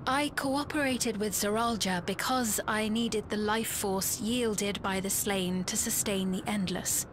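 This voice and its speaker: An actress playing a gentle, sorrowful young queen in a video game, speaking softly and formally with regret. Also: mid-range voice, measured pace, background noise, lightly acted. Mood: resigned sorrow. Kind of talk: dramatic video game acting.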